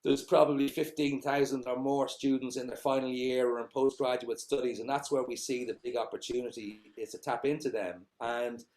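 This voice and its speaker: Middle-aged Irish founder, average pitch, meandering answers full of ums and uhs. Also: male voice, fairly even intonation, moderate pace, hardly any pauses, a little mellow, poor audio. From Ireland. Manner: rambling, many fillers and restarts. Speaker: company founder, guest. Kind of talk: casual interview answers.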